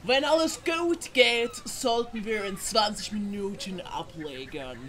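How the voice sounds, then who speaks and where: A woman's voice: mid-range voice, lively intonation, slow pace, fairly bright tone, faint music behind. A woman playing a courteous, suave male sea captain in a comedic audio play, with flowery politeness. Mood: businesslike.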